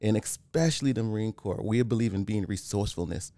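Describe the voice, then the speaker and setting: A man from Haiti, a real estate developer talking as a podcast guest in a calm, plain-spoken way, often restarting. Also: on the low side, fast, no room sound, clean studio sound. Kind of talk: casual interview.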